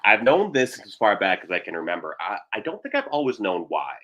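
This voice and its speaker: A male performer from Trinidad tells stories in a wry, irreverent way. His voice is bright and forceful, and his pitch moves around a lot as he chats. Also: huge pitch swings, brisk, dull audio. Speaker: playwright and performer, interview guest. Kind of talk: animated solo storytelling and casual chat.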